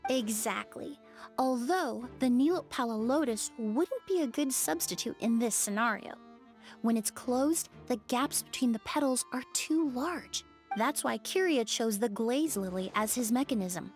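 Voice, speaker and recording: A young female investigator in a video game, and her voice sits high. She lays out her reasoning step by step in a clear, measured way. Faint music plays underneath. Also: expressive, a little mellow. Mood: explaining. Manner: measured, laying out a deduction step by step.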